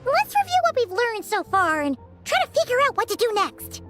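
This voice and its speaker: Tiny childlike fairy companion, squeaky high, lively swooping intonation, chirpy, earnest, video game, music behind. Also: female voice, fairly quick. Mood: pondering. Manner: refers to herself by name in third person.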